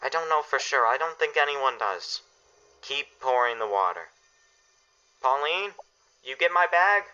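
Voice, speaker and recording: The sound is dull and band-limited, and the voice is forceful and very bright. An actor plays a family father in a radio play, giving firm orders and steady reassurance under pressure. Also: mid-range voice, measured pace, pauses often, clear, resonant tone. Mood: stern, urgent. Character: steady, commanding family father preparing for disaster. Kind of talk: radio drama acting.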